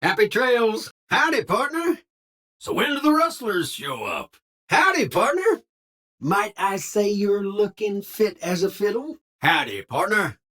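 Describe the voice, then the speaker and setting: Game robot cowboy: lively, swooping Western American drawl, slightly rough-edged, folksy and quick on the draw. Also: male voice, sits high, heavily acted. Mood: jaunty, friendly. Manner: drawling, colorful frontier slang. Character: folksy old-time cowboy security robot, cheerful yet trigger-happy. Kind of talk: video game character voice lines.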